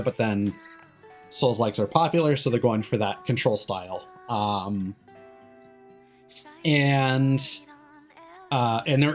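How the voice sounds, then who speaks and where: A video game streamer giving casual, analytical commentary, rambling and often trailing off mid-thought. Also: medium pitch. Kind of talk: casual livestream commentary while gaming.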